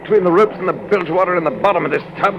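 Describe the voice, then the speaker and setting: An actor playing a jaunty, polite English gentleman adventurer with a British accent and droll understatement, in radio serial style. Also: sits high, very fast, highs cut off. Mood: droll, strained. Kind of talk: 1940s radio adventure acting. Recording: noisy old-time radio recording.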